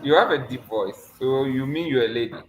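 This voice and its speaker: A man's voice: medium pitch, brisk, a little hiss or hum. A man coaching a student for a mock visa interview over a video call, teasing and laughing often but encouraging. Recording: echoey room with faint ambience.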